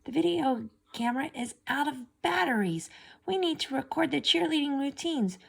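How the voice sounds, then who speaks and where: A commanding storybook stepmother, as a woman reader puts her on. She is self-important and bossy, her pitch lifted a little above an everyday voice. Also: lively intonation, normal speed, creaky. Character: evil stepmother, self-important middle-aged woman, bossy, doting on daughters.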